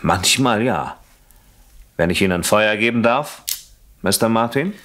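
An actor playing a suspicious, jealous husband in a German radio crime play, mock-polite and needling, on a noisy old recording. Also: male voice, fairly low pitch, lively intonation, measured pace, fairly bright tone.

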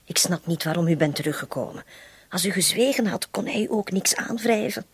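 A woman's voice: on the low side, brisk, a little hiss or hum, natural voice. An actress playing a frank, protective housekeeper in a radio drama, talking bluntly and candidly to her master. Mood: reproachful.

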